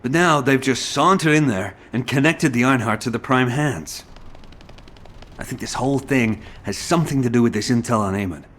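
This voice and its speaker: Medium pitch, man acting as self-assured operative, brisk, businesslike, confident, video game mission briefing. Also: fairly bright tone, slight background noise, natural voice.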